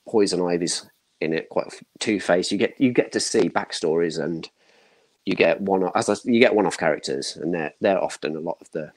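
A man's voice: deep voice, brisk. A man in his thirties chatting casually as a panel guest, giving opinions in short broken bursts. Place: home room, indoors, video call.

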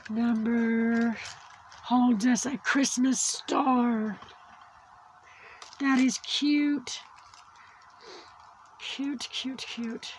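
A high-pitched woman whose voice is fading after surgery, so it comes out worn and thin. She talks slowly and chattily while she films her crafts from above at a tabletop. A faint hiss of noise sits under the recording. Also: fairly even intonation, rough recording. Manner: slow, chatty, cooing over small crafts. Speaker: crafting channel host. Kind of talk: casual unboxing chat. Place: indoors at a tabletop craft setup.

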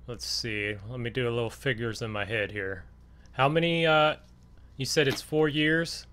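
A man's voice: medium pitch, moderate pace, fairly bright tone, clear, resonant tone. A laid-back man in casual gaming role-play as a farmer, talking hesitantly. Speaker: host, gamer role-playing as farmer buyer.

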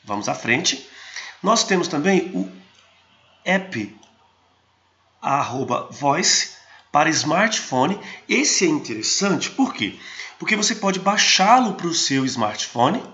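Bright-voiced man giving a software tutorial. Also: fairly high pitch, very animated, takes it slowly, lo-fi sound. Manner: hesitant, frequent restarts and fillers. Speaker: host of a geotechnology channel. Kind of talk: screen-recorded software tutorial.

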